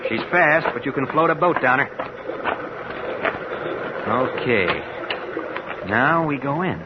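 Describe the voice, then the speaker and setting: An actor playing a cocky, sly American escaped convict in a vintage radio drama, bossy and sardonic. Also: male voice, mid-range voice, lively intonation, slow pace. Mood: urgent, bossy. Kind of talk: 1950s radio drama acting. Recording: muffled, very noisy vintage radio recording.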